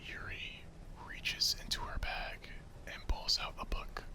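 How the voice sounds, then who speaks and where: A man's voice: takes it slowly, rough recording. A man narrating a visual novel in a whispered ASMR style.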